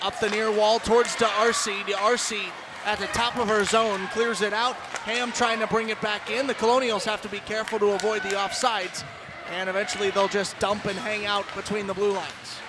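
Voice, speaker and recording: High-pitched man calling live hockey. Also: level tone, moderate pace. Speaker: play-by-play commentator. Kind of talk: live ice hockey commentary. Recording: loud crowd and arena noise behind. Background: ice rink crowd.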